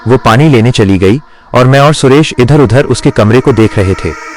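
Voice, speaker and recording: A man's voice: mid-range voice, moderate pace. A man narrating a scripted horror story in the first person.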